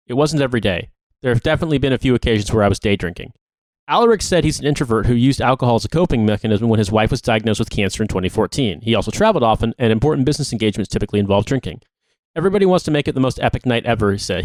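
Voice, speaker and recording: A man's voice: medium pitch, dry recording. A man co-hosting a podcast, reading a long article aloud. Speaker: podcast co-host.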